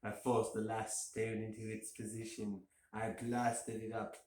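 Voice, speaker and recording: A man's voice: on the low side, monotone, a little slow, darkish tone. A man giving an amateur dramatic reading of a gothic horror story. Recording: roomy echo, faint hiss.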